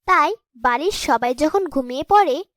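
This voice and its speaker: High-voiced woman telling a fairy tale. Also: lively intonation, moderate pace, a bit bright. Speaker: storyteller. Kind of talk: scripted fairy-tale narration.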